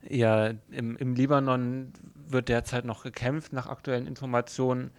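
A man presenting a radio news programme as host, in an informal, conversational and somewhat halting way. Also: mid-range voice, flat delivery, clean tone.

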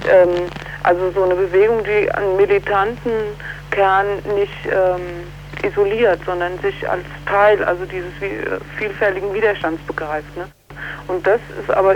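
A woman's voice: medium pitch, darkish tone, muffled recording. Hesitant woman interviewee over background noise. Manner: halting, hesitant, often ending on question tags. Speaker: activist interviewee. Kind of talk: spontaneous political interview.

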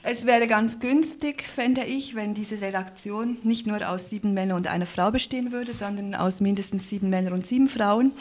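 A woman's voice: mid-range voice, moderate pace, few pauses, a little mellow. A woman presenting live in a radio studio, speaking in an earnest, wordy way and often correcting herself. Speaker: radio presenter and editorial team member.

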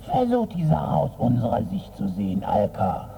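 A man playing a haughty, scolding official of the land of the dead in a theatrical radio sketch, with a creaky, condescending delivery. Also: mid-range voice, huge pitch swings, moderate pace, warm tone. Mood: patronizing, weary. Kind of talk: acted comic-book dialogue in a radio review. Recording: noisy, tinny, phone-like radio sketch.

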